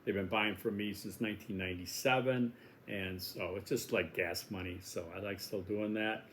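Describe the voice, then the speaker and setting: A man's voice: lowish voice, fairly even intonation, normal speed, fairly bright tone, slight background noise. A man in his sixties from Milwaukee with a Wisconsin accent, a college instructor chatting casually in a course welcome video, rambling and joking at his own expense. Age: 60s. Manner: rambling, chatty, self-deprecating jokes.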